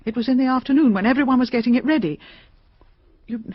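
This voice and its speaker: An actress playing a shaken middle-aged British mystery novelist in a radio drama, talkative, hesitant and confiding. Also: female voice, a little high, fast, no room sound, natural voice. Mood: anxious, insistent. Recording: slightly dull, dry radio recording.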